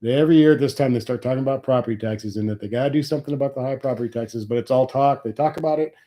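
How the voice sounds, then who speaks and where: A man's voice: medium pitch, very fast, mellow. A middle-aged man from Nebraska, sniffly from a cold, complaining wryly in a rambling live chat with his viewers. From Nebraska, USA. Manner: rambling, wry complaining about taxes. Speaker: livestream host.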